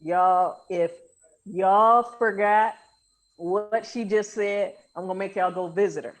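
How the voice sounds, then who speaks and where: A woman's voice: medium pitch, fairly even intonation, takes it slowly, rough recording. A woman with an American accent hosting a casual interview, affirming her guest in an emphatic, colloquial way. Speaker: podcast host.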